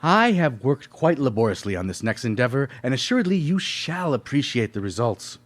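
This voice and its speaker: A man's voice: mid-range voice, very animated, a little fast. An actor playing a pompous, thin-skinned male painter with florid, haughty diction in a live radio play. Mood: eager, self-important.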